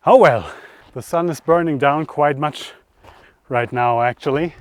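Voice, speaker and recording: A man's voice: a little high, a little slow. A man hosting a travel vlog, talking casually and conversationally to camera outdoors, often thinking aloud. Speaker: travel vlogger, host. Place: outdoors by roads, lakeside and mountains.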